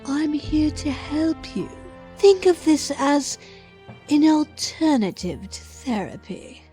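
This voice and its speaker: A woman acting a measured, sinister, high-voiced temptress. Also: expressive, dark tone, soft background music. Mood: coaxing. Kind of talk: audio drama demo monologue.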